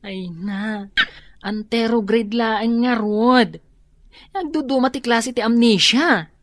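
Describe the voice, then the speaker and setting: A woman playing a steady, reassuring friend who explains and advises in a radio drama, with an Ilocano Filipino accent. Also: fairly high pitch, takes it slowly, no room sound. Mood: explaining.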